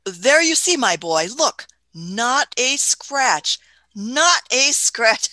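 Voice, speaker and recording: Woman acting fussy old time traveler, bright and dry. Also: on the high side, expressive, very slow, no echo, exaggerated voice. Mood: smug. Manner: hesitant, muttering, chuckling old-fashioned speech. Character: elderly eccentric female time traveler, smug, fussy, affectionate. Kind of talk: radio play acting.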